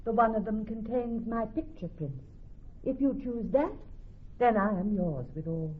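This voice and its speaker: An actress playing a poised, commanding young heiress in a classic Shakespearean radio drama. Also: female voice, fairly high pitch, expressive, a little slow. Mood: formal, poised. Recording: muffled old radio recording.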